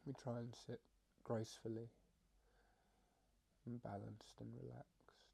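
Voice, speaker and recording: A man leading an unscripted guided meditation as a teacher, calm and soft-spoken, in halting phrases with long pauses. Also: mid-range voice, gravelly, breathy edge.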